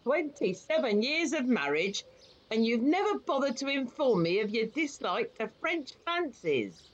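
Animated, fussy older wife. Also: female voice, on the high side, a little slow. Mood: fussy, chiding. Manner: fussy, chiding. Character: fussy, bossy older wife and mother, long married. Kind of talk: audio play acting. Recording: muffled, noisy home recording.

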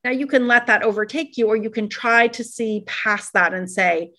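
A middle-aged woman from Iran, talking fast and animatedly as an interview guest, with many fillers and restarts. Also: on the high side, sharp tone. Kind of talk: reflective interview conversation.

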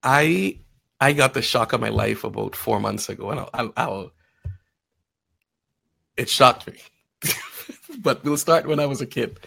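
A man telling stories casually in conversation, acting out bits of quoted dialogue in an animated manner. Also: medium pitch, unhurried, gravelly, long pauses, breathy edge. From Guyana and Trinidad. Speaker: podcast guest, financial advisor.

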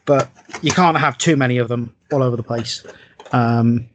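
A man co-hosting a podcast in casual hobby chat, speaking in a halting, rambling way and repeating his phrases. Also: medium pitch, slight background noise. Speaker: podcast co-host.

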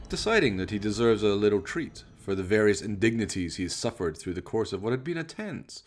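A man's voice: on the low side, very animated, a little fast. A man giving scripted narration as the storyteller of a radio play.

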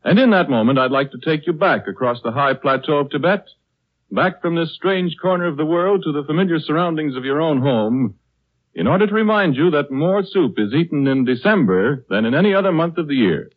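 American guy doing 1930s radio announcer read, highish husky voice, super lively n formal, old dull recording. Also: a little fast. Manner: formal, polished, emphatic delivery. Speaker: radio announcer and sponsor's pitchman.

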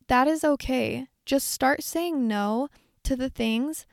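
A woman in her twenties hosting a podcast alone, chatting in an effusive, rambling way, her voice a bit raspy. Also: a little high, no echo, clean studio sound. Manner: chatty, effusive, lots of likes and little laughs. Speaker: podcast host. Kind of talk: casual solo podcast monologue.

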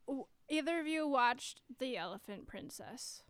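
Brief: female podcast host, slightly high voice, teasing and playful, dry close sound. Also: lively intonation, unhurried, clean tone, no room sound. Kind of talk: casual podcast chat.

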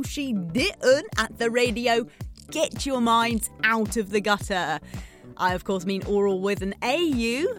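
A woman's voice: medium pitch, natural voice. A woman playing a chatty, needy late-night radio DJ in a scripted drama, playful and theatrical, coaxing her listeners. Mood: cheeky, upbeat. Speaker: radio host.

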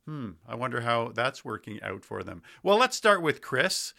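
A man's voice: mid-range voice, sing-song, moderate pace, creaky, sharp tone. A man hosting a podcast, interviewing and presenting in a conversational style.

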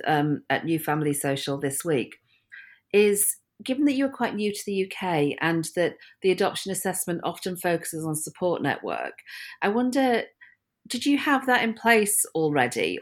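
Chatty woman hosting a podcast. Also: on the low side. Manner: chatty, laughs often. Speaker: podcast host. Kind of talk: casual interview.

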